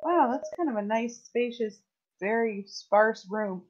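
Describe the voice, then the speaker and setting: A woman's voice: fairly high pitch, measured pace. A woman chatting casually over a game, teasing and sarcastic in her side comments. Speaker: gameplay companion commentator. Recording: muffled lo-fi sound with music behind.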